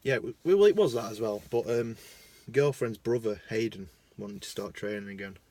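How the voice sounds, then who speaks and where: A young man with a Lancashire accent, rambling casually into his phone in a parked car, self-mocking, jumping between loud bursts and mumbled asides. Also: lowish voice, normal speed. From Lancashire, England. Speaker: vlogger.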